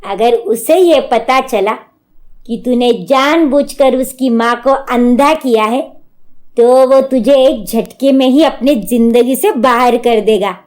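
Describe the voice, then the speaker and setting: A woman's voice: a little high, slow pace, a little mellow. A woman voicing a bossy, hot-tempered mother-in-law in a cartoon story, theatrical and scolding in manner. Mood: threatening.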